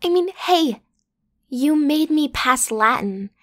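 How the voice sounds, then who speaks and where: A woman's voice: sits high, expressive, takes it slowly. A woman playing a bratty, playful popular student girl in an ASMR roleplay, with casual, slangy, teasing delivery. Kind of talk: ASMR roleplay acting, one side of a conversation.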